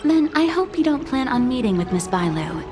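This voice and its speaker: An actress playing a polite, anxious and dutiful maid in a video game, formal and deferential. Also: female voice, high voice, very animated, normal speed, over music. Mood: worried, pleading. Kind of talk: video game dialogue acting.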